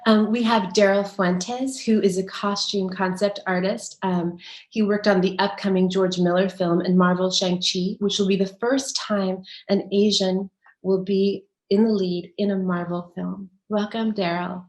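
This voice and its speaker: A woman's voice: mid-range voice, level tone, measured pace, darkish tone, roomy sound. A woman moderating an online panel, reading a prepared introduction and then welcoming guests. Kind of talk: moderating a video-call panel.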